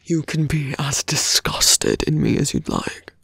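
A performer voicing a smug, seductive fantasy villain in an ASMR roleplay, slowly and intimately whispering. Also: medium pitch, wildly swooping pitch, a bit bright, no echo, studio quality, exaggerated voice. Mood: coaxing, seductive. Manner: slow, breathy whispering, intimate.

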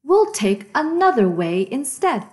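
A woman's voice: sits high, big pitch swings, measured pace, a little mellow, pitched above the performer's own voice. A woman voicing an eager, kind, plucky little boy truck in a children's storybook, with encouraging exclamations.

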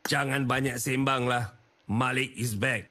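Bright, curt Malaysian gangster. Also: male voice, medium pitch, fairly even intonation, measured pace. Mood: commanding. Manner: curt, bossy. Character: cocky, commanding male gangster fighter. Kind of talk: game voice pack catchphrases.

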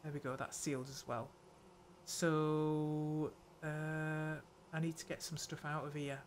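An older woman hosting a gameplay video, muttering her thoughts aloud in an unhurried way. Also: low voice, level tone, a little hiss or hum. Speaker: gaming channel host. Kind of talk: let's play commentary.

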